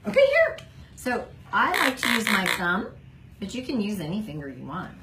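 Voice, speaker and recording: Animated middle-aged woman coaching in echoey room. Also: medium pitch, moderate pace. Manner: gentle, encouraging, animated. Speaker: speech therapist demonstrating a device to a child. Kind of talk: encouraging hands-on instruction to a young child. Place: small indoor clinic room. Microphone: handheld phone camera at short distance. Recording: roomy, noisy phone video recording.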